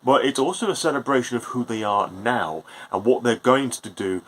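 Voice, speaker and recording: A man's voice: medium pitch, moderate pace, a bit bright, low-quality recording. A British man giving an impromptu personal vlog talk, candid and reflective, with some hesitation and casual swearing. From Britain. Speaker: vlogger.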